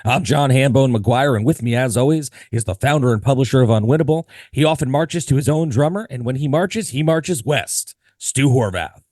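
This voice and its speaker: A man hosting a podcast while hoarse from losing his voice, speaking in a relaxed, friendly way. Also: medium pitch, normal speed, hardly any pauses, no room sound, clean studio sound. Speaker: podcast host.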